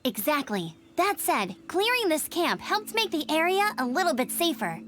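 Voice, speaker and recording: A woman's voice: sits high, sing-song, moderate pace, a bit bright, slight background noise. A woman playing an eager, upbeat young female knight and outrider in a video game, earnest and helpful in manner. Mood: earnest, concerned. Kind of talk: English video game voice acting. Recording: game music behind the voice.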